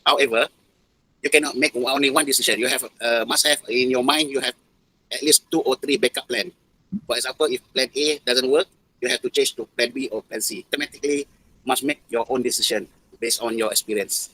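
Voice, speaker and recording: A man with a Malaysian accent giving explanatory interview answers in a halting manner with non-native phrasing. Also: mid-range voice, steady tone, pushed and bright, husky, no room sound, low-quality recording. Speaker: interviewed air traffic control expert.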